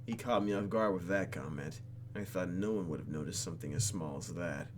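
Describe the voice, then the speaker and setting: A man's voice: sits low, fairly quick. A man hosting a gaming video, reading game text aloud and adding theatrical, jokey asides, with some noise behind him.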